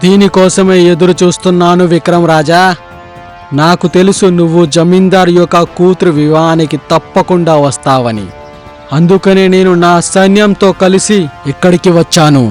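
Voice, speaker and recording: A grasping, merciless king from a cartoon folk tale, acted by a man. His voice sits high for a man and speaks with imperious menace. Faint music runs underneath. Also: normal speed, a little mellow, natural voice. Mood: menacing. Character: cruel, grasping conquering king.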